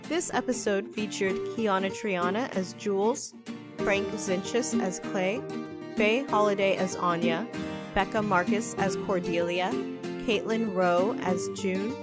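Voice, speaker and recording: A woman delivers scripted closing credits for a podcast. Her voice is mid-pitched, and music plays prominently underneath. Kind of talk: scripted narration. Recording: loud music bed.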